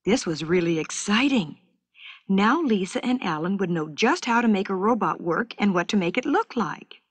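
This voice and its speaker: Woman telling a school filmstrip story, clear delivery, really dry close sound. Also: mid-range voice, animated, normal speed, dry recording. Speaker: narrator of an educational film strip. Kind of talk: scripted children's story narration.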